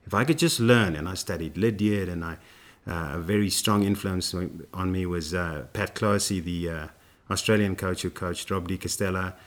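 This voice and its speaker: A man, a running coach, telling his story in a relaxed interview with lots of fillers and restarts. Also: deep voice, moderate pace. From South Africa. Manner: rambling, many fillers and restarts.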